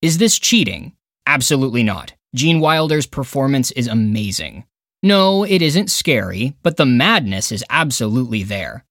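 Animated man narrating a scripted countdown. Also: medium pitch, normal speed, no echo, clean studio sound. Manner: opinionated, emphatic, dramatic phrasing. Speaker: podcast narrator and film critic.

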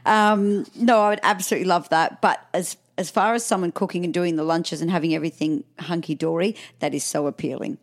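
A woman's voice: fairly low pitch, a little fast, hardly any pauses. A woman co-hosting a podcast, chatting in a playful, self-mocking way. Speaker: podcast co-host. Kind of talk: casual chat.

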